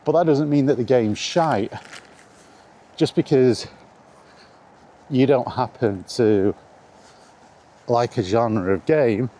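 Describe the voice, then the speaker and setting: A man's voice: medium pitch, takes it slowly, pauses often, warm tone. A middle-aged British man chatting casually and informally about his views in a meandering, mildly sweary vlog recorded outdoors. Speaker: video maker chatting about video games. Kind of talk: casual rambling vlog chat. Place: outdoors in the rain, walking. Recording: outdoor ambient noise, faint.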